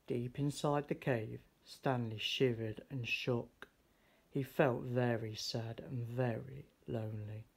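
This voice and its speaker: A man reading a children's picture book aloud in an expressive storytelling style. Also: medium pitch, slow, vocal fry, husky. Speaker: storybook reader.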